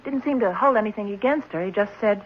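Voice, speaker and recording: A woman's voice: medium pitch, fast talker, lightly acted. An actress playing a stern, matter-of-fact woman who runs an orphanage in a 1970s radio drama. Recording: muffled, noisy vintage radio recording.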